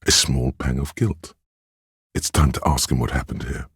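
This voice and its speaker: An extremely deep, heavily husky male voice narrates as the player's sense of empathy in a video game. It is gentle, perceptive and sorrowful, with measured, solemn phrasing. The sound is dry and intimate. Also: no echo, heavily acted. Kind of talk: scripted second-person game narration.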